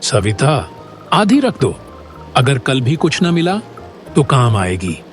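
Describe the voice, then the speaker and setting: A man playing a gentle, hopeful poor woodcutter husband in an earnest, dramatic Hindi cartoon moral story. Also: mid-range voice, big pitch swings, slow pace. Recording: loud background music.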